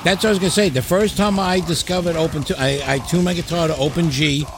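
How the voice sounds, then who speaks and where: A man's voice: a little high, fairly even intonation, quick pace. A man hosting a podcast, chatting casually about rock music in an enthusiastic, slangy way with plenty of swearing. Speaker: podcast host.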